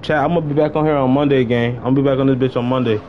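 A man's voice: mid-range voice, steady tone, very fast, muffled recording, a little hiss or hum. A young adult man chatting casually on a gaming livestream in slangy, informal speech with plenty of swearing. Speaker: game livestreamer.

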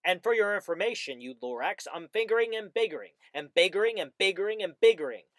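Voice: medium pitch, lively intonation, fast, dry recording, pitched above the performer's own voice, lightly acted. A reader playing a greedy, stubborn businessman who rants back defiantly, repeating himself. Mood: angry, defiant. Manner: defiant, repetitive ranting. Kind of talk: storybook reading.

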